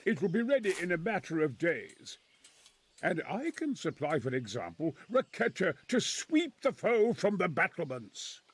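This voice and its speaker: An actor playing a nervous, middle-aged military engineer and former crusader in a video game, speaking earnestly in formal, old-fashioned phrasing. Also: male voice, sits high, very animated, moderate pace, breathy edge, exaggerated voice. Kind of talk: dramatic video game acting.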